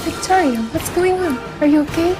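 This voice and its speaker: A woman's voice: very high-pitched, fairly quick, over music. A young woman playing an anxious, urgent adult guardian in a student radio drama. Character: worried adult woman, Annabelle.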